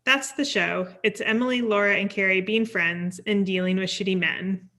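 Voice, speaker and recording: A woman's voice: medium pitch, moderate pace, sharp tone. A woman reading stage directions as scripted narration at a table read.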